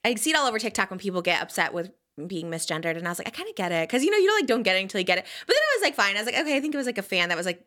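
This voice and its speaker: A woman hosting a podcast, chatting casually and giggling often in an animated way. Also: medium pitch, rapid-fire, few pauses. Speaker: podcast host. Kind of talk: casual chat.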